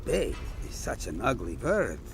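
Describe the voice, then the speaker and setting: A man's voice: fairly low pitch, huge pitch swings, unhurried, music in the background, exaggerated voice. A man playing a cocky veteran starship captain in an audio drama, dry and bantering. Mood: teasing, assured.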